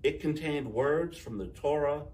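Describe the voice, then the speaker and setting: Higher-voiced man reading a children's story, off-mic. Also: a little slow, far from the mic, slight background noise. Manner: animated, acting out dialogue. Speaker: storyteller reading a picture book.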